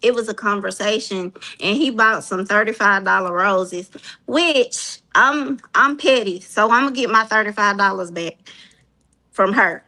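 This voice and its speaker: A woman's voice: mid-range voice, a little slow, bright, forceful tone, poor audio. A woman with a Southern US accent chatting casually and telling personal stories, blunt and emphatic, repeating her points. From Mississippi, USA. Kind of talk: casual chat, personal storytelling.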